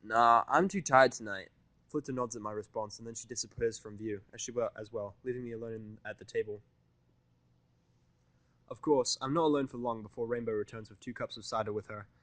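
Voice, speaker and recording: A man's voice: lowish voice, steady tone, brisk, dry recording. A man reading scripted first-person fiction in a wry, informal way. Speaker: audiobook-style fan fiction narrator.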